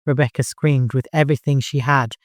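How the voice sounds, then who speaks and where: A man narrating fiction as an audiobook, in an expressive, dramatic storytelling manner. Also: mid-range voice, a little fast, no echo, studio quality.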